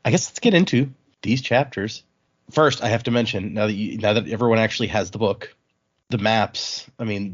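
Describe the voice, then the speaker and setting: A man with a fairly low voice talks informally as a show host discussing a book. He is chatty and tends to digress. The audio is slightly dull and lo-fi. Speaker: podcast host.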